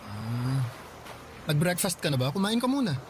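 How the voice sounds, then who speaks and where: An easygoing, accommodating college boyfriend in a radio drama. His pitch is a little high and his manner gentle and casual. There is clear noise behind him. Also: very animated, normal speed. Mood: caring. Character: patient, generous young college boyfriend. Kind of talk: Tagalog radio drama acting.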